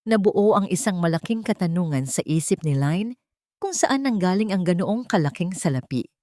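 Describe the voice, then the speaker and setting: Low-voiced woman narrating a drama. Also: expressive, normal speed, darkish tone, no echo, studio quality. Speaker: storyteller, narrator.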